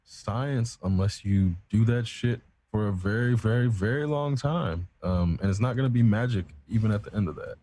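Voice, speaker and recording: A man's voice: on the low side, moderate pace, hardly any pauses, mellow. A man in casual chat, explaining at length in a rambling, profane, self-assured way. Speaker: podcast co-host.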